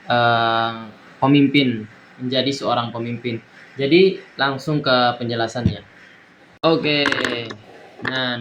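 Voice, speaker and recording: Young man, student, halting delivery, slow pace, faint background noise. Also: mid-range voice, fairly bright tone, low-quality recording. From East Nusa Tenggara, Indonesia. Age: young adult. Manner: halting, many fillers and restarts. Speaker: university student. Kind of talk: recorded exam presentation.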